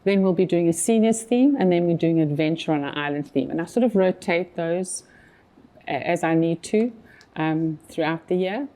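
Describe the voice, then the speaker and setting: A woman's voice: fairly low pitch, normal speed, warm tone. A woman in her fifties from South Africa with a South African accent, chatting casually as an interview guest with many fillers and restarts. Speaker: magazine publisher guest.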